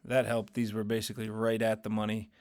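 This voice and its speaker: A man hosting a casual market recap, talking through trades in a rambling, conversational way with lots of fillers while his voice grows hoarse. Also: lowish voice, monotone, fairly quick, a little mellow. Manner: conversational, rambling, many fillers and self-corrections. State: voice getting hoarse, losing his voice. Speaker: finance channel host.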